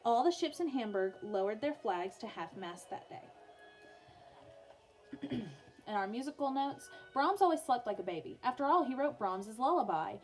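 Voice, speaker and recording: High-voiced young woman teacher, music behind. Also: animated, moderate pace, rough recording. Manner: informal, often breaking off to soothe a small child. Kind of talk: reading a biography aloud for pupils, with casual asides.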